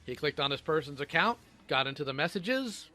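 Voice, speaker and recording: A man's voice: fairly high pitch, lively intonation, brisk, sharp tone. A man's synthetic robot voice reading a scripted, sardonic narration. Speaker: video creator responding to allegations.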